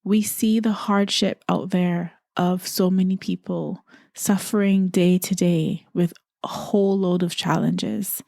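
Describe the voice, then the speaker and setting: A woman's voice: fairly low pitch, fairly even intonation, a little slow, vocal fry, warm tone, dry recording, clean studio sound. Reflective woman podcaster from Barbados. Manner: earnest, musing, repeats phrases for emphasis. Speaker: podcast host. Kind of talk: reflective solo podcast talk.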